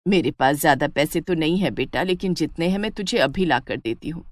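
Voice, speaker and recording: A woman's voice: low voice, expressive, a little fast, no echo. Woman voicing kind village mother, middle-aged, sympathetic, moral-story acting, dry close sound. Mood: tender.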